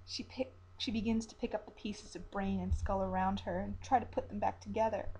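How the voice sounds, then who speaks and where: A woman storyteller reading a dark, dramatic tale as scripted narration. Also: medium pitch, fairly even intonation, fairly quick, slight background noise, poor audio.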